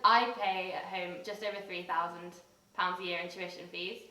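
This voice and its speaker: Young woman, English accent, low-ish voice, articulate student, heard from afar. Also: fairly even intonation, moderate pace, bright, forceful tone, slight background noise. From England. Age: young adult. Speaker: exchange student. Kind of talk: casual interview answers. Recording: echoey room, mic at a distance.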